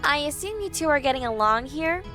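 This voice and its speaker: A woman's voice: high-pitched, animated, fairly quick, over music. A woman playing a stern but loving pony princess mother in an audio drama, composed and firm.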